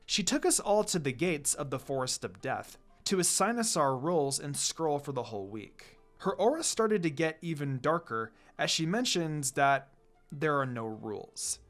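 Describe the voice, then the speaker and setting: Lively fan fiction reader over music. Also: medium pitch, normal speed. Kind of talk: expressive first-person story narration, casual asides. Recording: background music.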